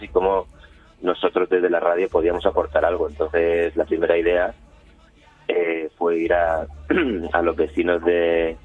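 A man's voice: low voice, no room sound. A man with an accent from Spain answering interview questions over the phone, hesitantly searching for words. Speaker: radio interview guest, community radio member. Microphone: phone call. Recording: tinny phone line with music behind.

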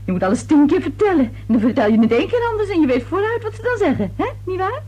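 A woman's voice: high voice, big pitch swings, very fast, mellow. A woman playing a nosy, chatty, easily indignant neighbour in a radio thriller, with theatrical prying delivery. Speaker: actress in a radio serial. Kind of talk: Dutch radio thriller acting.

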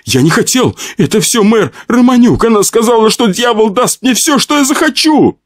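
Man acting a high-pitched whining, cowardly husband. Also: animated, moderate pace, no echo, studio quality. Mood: begging. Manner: whining, pleading, tearful. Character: cowardly, whining, jealous husband, desperate and pleading.

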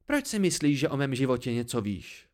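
A man voicing a proud, angry, sharp-tongued young woman in an audiobook story, with biting, indignant outbursts. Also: medium pitch, animated, close to an everyday voice. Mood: cold fury.

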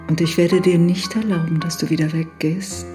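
A woman acting a possessive, scornful woman of the house in a radio drama, deliberate and domineering, with music behind. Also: on the low side, fairly even intonation, close to an everyday voice.

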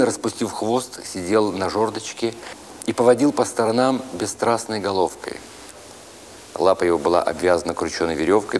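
A man reading a literary story as a narrator, expressively, with deliberate phrasing and pauses. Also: deep voice, slight background noise. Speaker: narrator, actor reading an audiobook.

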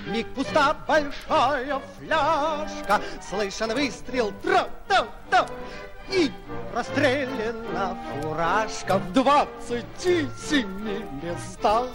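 High-voiced, jaunty townsman. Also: animated, takes it slowly, heavily acted. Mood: jaunty singing. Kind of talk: 1940s radio play acting with comic song. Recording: old radio recording, loud music behind.

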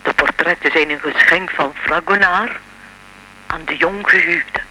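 An actress playing a composed, mysterious old French lady in a radio play, speaking formally and with measured care. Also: on the low side, sharp tone, full character voice, poor audio. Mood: composed, revealing. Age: older.

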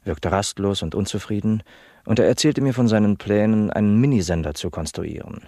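A conformist young office trainee, earnest n officious, lowish voice, dry studio radio play. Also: male voice, fairly quick, dark tone, no echo. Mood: earnest, reporting. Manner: matter-of-fact, officious jargon. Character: earnest, literal-minded young male office apprentice, proudly conformist. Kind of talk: satirical radio drama acting as an interviewee.

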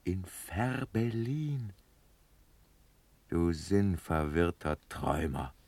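Blunt, exasperated officer friend for classical radio drama: lowish husky voice, teasing and impatient. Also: male voice, lively intonation, extremely slow, a little mellow, no room sound, full character voice. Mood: exasperated, urgent. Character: impatient, earthy male officer, the dreaming prince's blunt friend.

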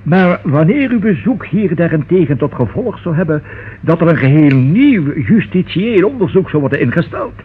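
Pompous notary, very husky, swooping. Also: male voice, on the high side, expressive, normal speed. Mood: guarded, formal. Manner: formal, long-winded, pompous. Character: cautious, pedantic, formal male notary. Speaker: actor in a radio play. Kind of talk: Dutch radio drama acting. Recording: thin, muffled old radio recording.

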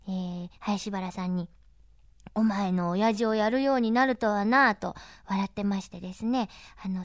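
A woman's voice: on the high side, dry recording, studio quality. A woman hosting a chatty interview, speaking politely with lots of reactive interjections. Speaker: radio show host interviewing a guest. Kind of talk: chatty interview for an anime fan CD.

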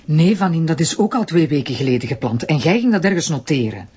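An actress playing an irritable, sharp-tongued wife and prosecutor in a TV drama, with a Flemish accent. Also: female voice, low voice, very animated, fast talker, husky, muffled recording, exaggerated voice. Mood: curt, reproachful. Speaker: actor. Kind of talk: naturalistic TV drama dialogue.

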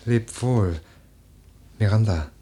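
An actor playing an earnest, melancholy nobleman in a literary radio drama, speaking in a soft, husky voice with grave, measured phrasing. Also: fairly low pitch. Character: earnest, melancholy nobleman, the widow's admonishing cousin.

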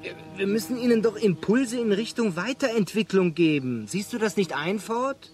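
An actor playing an earnest, fussy and stubbornly hopeful castaway in a radio play, talking like a patient schoolmaster. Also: male voice, high voice, expressive, moderate pace, darkish tone. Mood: earnest, pleading.

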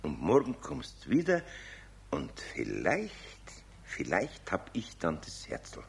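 A man's voice: low-pitched, wildly swooping pitch, slow, gravelly, breathy edge, exaggerated voice. An actor playing a good-natured older master craftsman in a children's radio play, with a Bavarian accent and a coaxing, patient but exasperated manner. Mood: kindly, encouraging. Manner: colloquial, coaxing, grandfatherly.

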